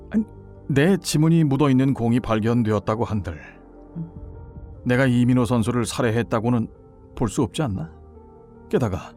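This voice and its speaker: A man's voice: mid-range voice, close to an everyday voice. A male actor playing a guilty middle-aged baseball umpire in an audio drama, talking down to others with defensive, menacing indignation. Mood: forced composure. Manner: condescending, feigned calm breaking into indignant outbursts.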